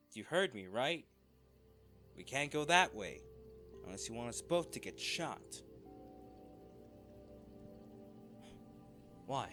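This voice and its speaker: Man acting a wry treasure hunter, animated over music. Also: mid-range voice, lightly acted. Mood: tense, sheepish. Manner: wry, flippant, conversational. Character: cocky, wisecracking male treasure hunter trekking through jungle ruins. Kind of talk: dramatic audio roleplay addressed to a companion.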